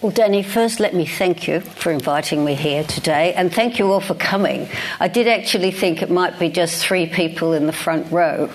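A woman's voice: lowish voice, moderate pace, hardly any pauses, slight background noise. An older Australian woman, a guest speaker, talking casually and self-deprecatingly about her career in front of an audience, with frequent restarts. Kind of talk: reflective conversation on stage. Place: lecture hall with live audience.

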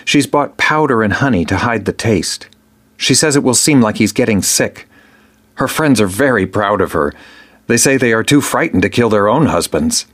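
Man doing a teen boy, soft and calm, watchful, pitched up, storybook. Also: normal speed. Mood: matter-of-fact. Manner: soft, quiet and steady. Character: watchful thirteen-year-old boy, calm and calculating.

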